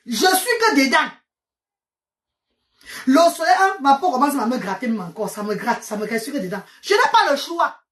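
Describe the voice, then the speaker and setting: A Cameroonian woman whose mother tongue is Medumba, speaking French with a Cameroonian accent and talking to her followers in an animated, exclaiming way. She repeats herself and slips English words into her French. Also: high voice, moderate pace. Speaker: vlogger. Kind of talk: chatty storytelling to followers.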